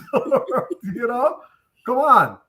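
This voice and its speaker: A man's voice: extremely high pitch, sing-song, very slow, fairly bright tone. A man with a Jamaican accent speaking as a panelist in an online discussion, telling candid personal anecdotes in a conversational way over a video call.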